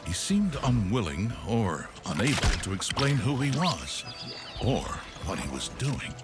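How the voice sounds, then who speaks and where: A man's voice: fairly low pitch, very animated, a little slow, over music. An actor playing a wry, theatrical male narrator of a video game story, arch and playfully dramatic. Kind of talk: scripted narration.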